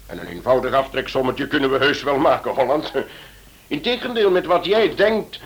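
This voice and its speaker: A man playing a senior police chief in a radio play, speaking in a measured, authoritative way. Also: mid-range voice, animated, close to an everyday voice. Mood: rueful, insistent.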